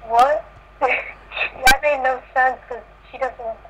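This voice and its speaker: A woman with a fairly high voice reads a story aloud in an amateur style, ready to step out of it with a comment. The audio is dull and lo-fi, like a voice chat. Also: fairly even intonation, takes it slowly, heavy background noise. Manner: reading aloud, breaking off to comment. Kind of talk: amateur group reading of fan fiction.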